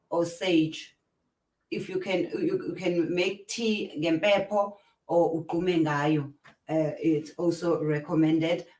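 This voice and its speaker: A woman with a South African accent hosting an interview, speaking in a halting way with many fillers. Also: fairly low pitch. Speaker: host. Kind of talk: casual interview chat. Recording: distant, echoey room sound.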